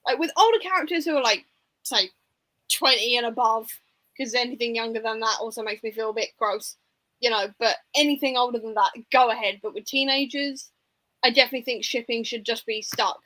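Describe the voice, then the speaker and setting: A woman's voice: on the high side, moderate pace, bright, forceful tone, poor audio. A woman hosting a casual book discussion, speaking informally and giving her opinions with plenty of hedging. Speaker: book discussion livestream host.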